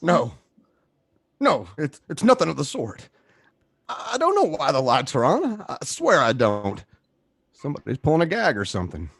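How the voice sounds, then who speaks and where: A man's voice: on the high side, huge pitch swings, moderate pace, gravelly, pauses often, a bit bright, slightly rough, dry recording, voice pushed up, exaggerated voice. A man acting a frightened, desperate man who stammers and pleads his innocence in a radio play. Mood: pleading.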